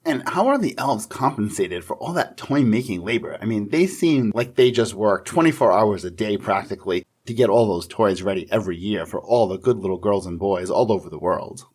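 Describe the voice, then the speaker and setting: A man's voice: lowish voice, a little fast, hardly any pauses, darkish tone, husky. A man co-hosting a podcast, interviewing and reading in a friendly, prepared style.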